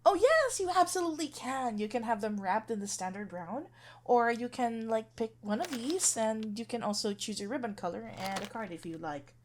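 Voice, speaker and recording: A woman's voice: on the high side, expressive, normal speed. A woman playing a sweet, flustered florist best friend in an ASMR roleplay, playful and awkwardly hesitant. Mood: polite, busy. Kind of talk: ASMR roleplay acting, one-sided dialogue.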